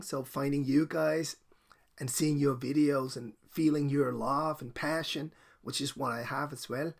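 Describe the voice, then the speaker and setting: A middle-aged Danish man speaks into a desk microphone with a gravelly, fry-laced voice. His Danish accent carries Irish and American traces, and he rambles self-consciously, apologizing often. His pitch sits in a middle register for a man. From Denmark. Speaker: comic book collector. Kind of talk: casual heartfelt video message.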